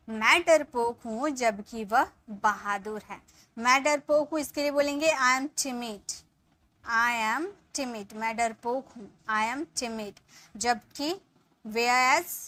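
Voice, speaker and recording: A woman in her thirties gives an English lesson, explaining each point in Hindi. Her voice sits high and sounds bright and clear. She takes her time, saying the English sentences slowly and word by word for her viewers. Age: 30s. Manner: addresses viewers as guys, checks in with "ठीक है". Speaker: English teacher.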